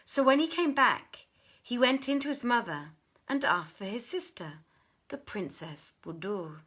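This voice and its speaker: A woman reading a literary tale aloud as a storyteller, with theatrical, deliberate and expressive phrasing. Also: a little high, slightly rough. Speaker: storyteller, reader.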